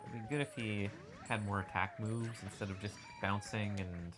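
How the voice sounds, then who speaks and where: A woman's voice: rumbling low, fairly even intonation, moderate pace, music in the background. A woman chatting casually and easily over a video game. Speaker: co-host of a gameplay show. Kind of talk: casual chat over gameplay.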